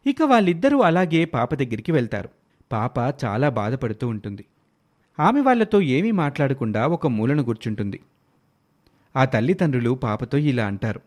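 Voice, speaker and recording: A man's voice: medium pitch, very animated, moderate pace. A man narrating a scripted moral story.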